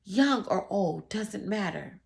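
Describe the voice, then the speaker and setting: Lady reading as storybook rabbi, calm n bossy, slow careful orders. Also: medium pitch, creaky. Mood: measured, probing. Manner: deliberate, firm, instructing. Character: calm, commanding rabbi, a wise male village adviser. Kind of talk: storybook read-aloud.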